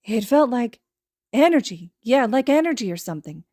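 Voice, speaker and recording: A woman voicing a timid, kind, excitable little boy who stammers and gushes, in a fan fiction audiobook. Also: a little high, moderate pace, no room sound, studio quality, pitched above the performer's own voice. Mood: flustered, eager. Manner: stammering, gushing.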